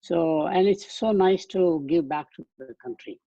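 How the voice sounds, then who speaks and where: An old man with an indian accent, fairly high for a man, soft mellow tone with a bit of husk, talking through slides in long wandering sentences that restart a lot, dull flat sound with no room echo. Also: measured pace, vocal fry. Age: 80s. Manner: loose rambling phrasing with restarts. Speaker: guest speaker. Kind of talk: webinar lecture with slides. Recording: dull dry webcast audio.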